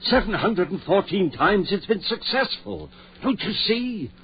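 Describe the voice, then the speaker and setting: An actor playing a driven, grieving surgeon-scientist in 1940s radio style, intense, insistent and commanding. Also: male voice, sits high, lively intonation, a little slow, creaky, exaggerated voice. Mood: fervent, pleading. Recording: muffled, noisy 1940s radio recording with hum.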